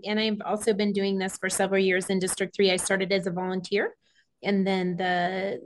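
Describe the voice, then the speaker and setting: A woman's voice: mid-range voice, slight background noise. A woman who manages community engagement for a police station, introducing herself in a friendly, explanatory way.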